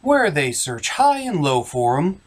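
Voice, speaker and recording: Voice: medium pitch, big pitch swings, unhurried. A theatrical, exaggerated host narrating a scripted comedic movie recap.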